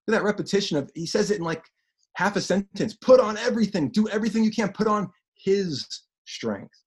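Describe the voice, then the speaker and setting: A man with a deep voice preaching a sermon as pastor, animated and repeating phrases for emphasis. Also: brisk, slightly rough.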